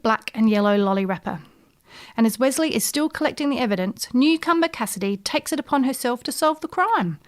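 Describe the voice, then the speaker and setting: A woman with a fairly high voice presents a scripted book review. She narrates like a podcast reviewer recommending a story to listeners.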